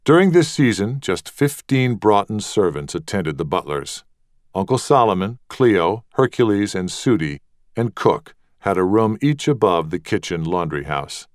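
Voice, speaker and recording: A man narrating a literary audiobook with measured, deliberate phrasing. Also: on the low side, sing-song, no echo, studio quality.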